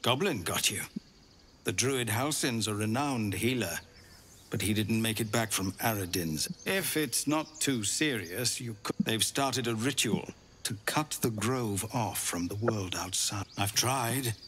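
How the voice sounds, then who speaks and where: A man's voice: on the low side, lively intonation, unhurried, a bit bright, slight background noise. A man playing a stern, weary, principled fighter in a fantasy video game, earnest and grave.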